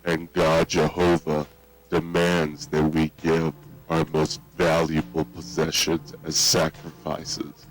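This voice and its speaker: A man playing a solemn, menacing old grandfather and cult patriarch who preaches gravely in a horror storybook. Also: extremely low pitch, completely flat delivery, takes it slowly, faint music behind, poor audio. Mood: solemn, coaxing. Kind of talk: scripted analog horror storybook acting.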